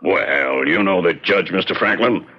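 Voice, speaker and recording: An actor playing a plain-spoken, matter-of-fact town constable in a radio drama, with blunt, folksy speech. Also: male voice, low-pitched, a little slow, husky. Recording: dull, lo-fi radio drama recording.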